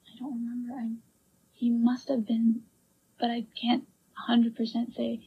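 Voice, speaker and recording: Breathy hesitant woman witness, muffled audio. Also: fairly high pitch, completely flat delivery. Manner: hesitant, halting answers. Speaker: witness in police interview. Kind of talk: police interview. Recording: dull archival interview recording.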